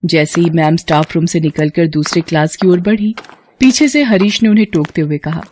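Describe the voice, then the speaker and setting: A woman narrating a scripted bedtime story in a steady, even manner. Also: lowish voice, normal speed, slight background noise.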